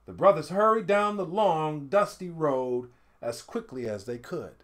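A middle-aged man reading a picture book aloud in an animated, dramatic storytelling style, pausing to speak to listeners. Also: on the high side. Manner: expressive, dramatic swells and hushed asides to listeners. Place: indoor home studio room.

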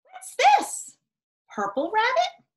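An excitable little storybook rabbit, played by a woman reading aloud. The voice jumps high and swoops around, full of exaggerated, curious wonder for small children. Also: takes it slowly, heavily acted. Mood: excited wonder.

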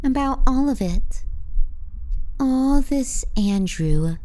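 A woman playing a possessive, menacing younger sister in an intimate ASMR roleplay, soft and breathy, often near a whisper. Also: high-pitched, animated, pitched above the performer's own voice, low-quality recording. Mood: brooding, possessive. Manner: slow, tender yet threatening. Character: possessive, menacing little sister murmuring to sleeping brother.

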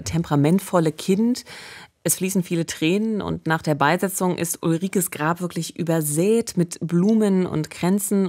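A woman's voice: low-pitched, a little mellow. A woman in her forties co-hosting a true-crime podcast, narrating conversationally at a studio microphone. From Eberswalde area, Germany. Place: wood-panelled podcast studio. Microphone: studio condenser mic with pop filter.

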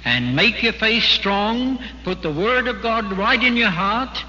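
A man's voice: sits high, expressive, slow pace, bright, forceful tone, slight background noise. A man giving an earnest, exhorting sermon at a religious convention. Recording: dull archival recording in a big hall.

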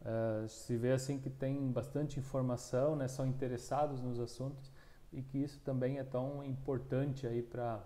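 A man's voice: mid-range voice, flat delivery, warm tone. A man in his thirties with a southern Brazilian accent, a union coordinator answering interview questions with many restarts, recorded on a lapel mic in a small room. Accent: southern Brazilian Portuguese. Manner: halting, many restarts and fillers. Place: small room, plain wall, indoors.

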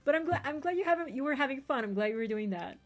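A woman streamer whose first language is not English, chatting excitedly with raiders and telling stories quickly. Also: high-pitched, soft background music. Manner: excitable, bubbly, quick storytelling. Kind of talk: casual stream chat.

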